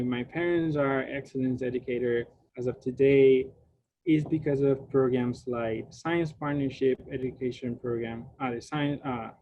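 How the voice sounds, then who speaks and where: A man's voice: mid-range voice, dark tone, lo-fi sound. A young man from Puerto Rico whose first language is Spanish, giving an online science talk with many fillers and restarts. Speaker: graduate student speaker. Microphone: video call.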